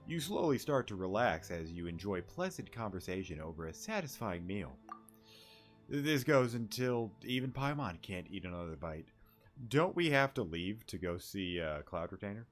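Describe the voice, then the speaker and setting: A man's voice: deep voice, big pitch swings, moderate pace. A man doing casual let's-play commentary in a laid-back, slangy way. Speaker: game streamer. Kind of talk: casual let's-play commentary, reading game text aloud. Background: game music.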